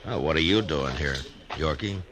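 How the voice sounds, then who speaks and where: An actor playing a laconic, weary American frontier marshal, acting and narrating in an understated, terse way in a 1950s radio western. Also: male voice, extremely low pitch, animated. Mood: terse, steady. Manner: understated, hesitant pauses, terse. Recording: vintage radio recording, loud music behind.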